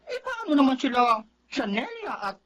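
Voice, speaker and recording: A woman's voice: sits high, very animated, moderate pace, a bit bright, slight background noise. An actress playing a concerned young female cousin, earnest and chiding, in radio drama style. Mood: reproachful. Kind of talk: Filipino radio drama acting.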